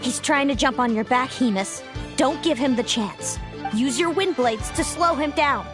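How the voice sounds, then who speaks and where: A woman's voice: sits high, moderate pace, lightly acted. A woman voicing a mysterious, bitter young female rival in a game, cool and secretive, over music. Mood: commanding.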